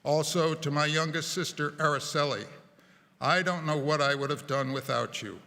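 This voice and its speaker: A man's voice: fairly high pitch, steady tone, normal speed, creaky, bright, forceful tone. A man reading a heartfelt written tribute to his family at a memorial service. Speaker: family member giving a tribute. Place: church sanctuary. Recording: long reverb of a church hall.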